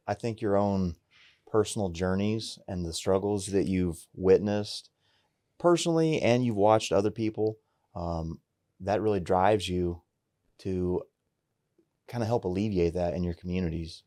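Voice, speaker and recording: Deep, mellow-voiced male interviewer. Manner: affirming, measured. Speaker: host. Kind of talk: interview hosting.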